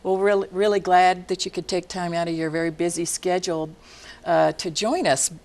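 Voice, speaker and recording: Low-voiced woman hosting a TV interview. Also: fairly even intonation, a little fast. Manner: warm, frequent affirming murmurs. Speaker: TV talk show host. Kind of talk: studio interview. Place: TV studio.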